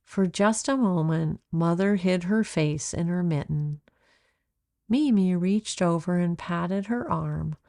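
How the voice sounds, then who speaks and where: A woman narrating a children's storybook in a measured, expressive way, like an author reading aloud. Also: lowish voice, dry recording, studio quality.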